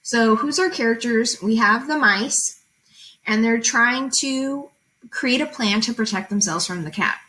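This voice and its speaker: A woman's voice: on the high side, unhurried, pushed and bright, low-quality recording. A woman reading fables aloud and explaining them as a teacher, in an informal, conversational way with guiding questions.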